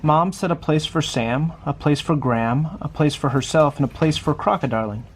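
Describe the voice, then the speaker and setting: A man's voice: fairly high pitch, normal speed, husky. A man reading a children's picture book aloud, adding small conversational asides as he goes. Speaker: storybook reader.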